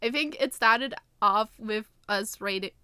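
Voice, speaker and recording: A woman's voice: fairly high pitch, slow pace, pushed and bright, clear, resonant tone, dry recording. A woman co-hosting a podcast, talking in casual, informal conversation. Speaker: podcast co-host and co-writer.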